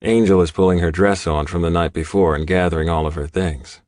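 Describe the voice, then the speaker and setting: A man narrating gritty first-person fiction as an audiobook, with an intense, deliberate delivery. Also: sits low, fairly even intonation, a little mellow, dry recording, clean studio sound.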